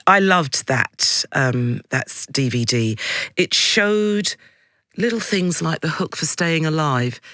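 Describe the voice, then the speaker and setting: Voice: mid-range voice, animated, slow, pushed and bright, no room sound. Chatty radio interviewer, dry studio sound. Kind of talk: conversational interview questioning.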